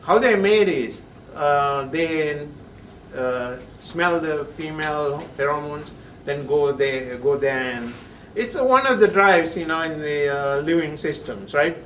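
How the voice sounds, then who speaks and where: A man's voice: fairly high pitch, a bit bright, distant mic, slight background noise. Man, lecturing in an echoey room. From Sri Lanka. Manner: halting, many "uh" pauses, checks in with "right?". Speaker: chemistry professor. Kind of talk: classroom lecture. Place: lecture classroom. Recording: echoey classroom heard from afar.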